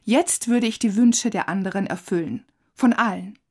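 A woman's voice: medium pitch, moderate pace, a little mellow, slightly rough. A middle-aged woman telling a first-person story as narrator of a radio feature. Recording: music under the voice. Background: music.

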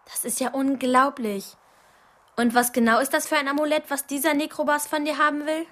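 Chatty, high-voiced young girl. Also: little pitch movement, fairly quick, fairly bright tone. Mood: astonished. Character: chatty, excitable young girlfriend. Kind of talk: radio play acting.

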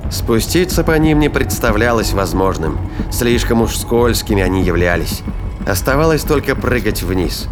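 A man's voice: lowish voice, lively intonation, normal speed. A man narrating a science fiction audio drama in a scripted, dramatic storytelling style. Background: prominent music bed.

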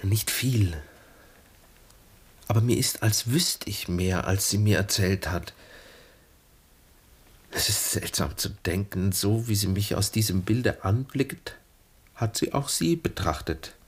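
An actor playing an earnest, wistful lieutenant of twenty-three in a 1960s German radio play, speaking formally and gently probing. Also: male voice, fairly low pitch, slow, pauses often, slightly rough. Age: young adult.

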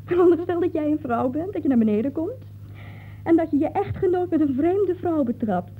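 Tartende jonge romanheldin, levendig, blikkerig geluid. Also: female voice, extremely high pitch, lively intonation, fairly quick. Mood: taunting, menacing. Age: young adult. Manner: taunting, theatrical, coaxing. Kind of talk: radio play acting. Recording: tinny, noisy old radio recording.

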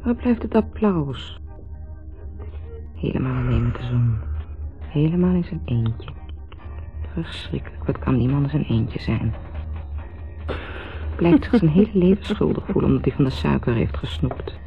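An actress playing a mocking, bitter, lonely wife in a sardonic, theatrical radio drama monologue. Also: female voice, extremely low pitch, sing-song, measured pace, vocal fry, long pauses, exaggerated voice. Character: mocking, bitter, lonely wife musing about her husband. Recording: thin, phone-like 1970s radio recording with music.

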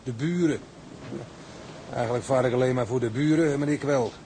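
A man's voice: medium pitch, moderate pace, warm tone, natural voice. A man acting a blunt, grumbling, wary sailor with colloquial speech in a radio drama. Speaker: actor. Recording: noisy radio play with background ambience.

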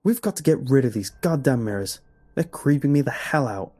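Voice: mid-range voice, moderate pace, warm tone, slightly rough, dry recording. A man playing a rattled, fed-up father in a narrated horror story. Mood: angry, rattled.

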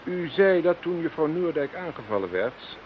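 An actor playing a smug, condescending scientific expert in a radio play, speaking formally and pompously. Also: male voice, on the high side, sing-song, normal speed. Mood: smug, fascinated. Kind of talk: Dutch radio play acting. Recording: dull, hissy radio play recording.

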